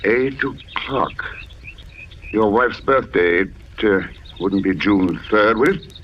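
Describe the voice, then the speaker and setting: Low-voiced, guarded radio-drama avenger. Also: male voice, slight background noise. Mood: halting, probing. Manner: halting, guarded, polite British phrasing. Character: grieving, vengeful writer hunting a killer, diary narrator. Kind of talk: 1940s radio drama narration and dialogue. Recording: dull old radio recording, music behind.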